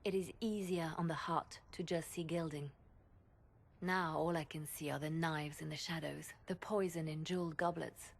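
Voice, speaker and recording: A diplomatic adviser in a fantasy game. Her voice sits a little low and is measured and solemn, with a wistful, earnest poise. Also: fairly even intonation, gravelly. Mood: somber, wary. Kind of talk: video game cutscene acting.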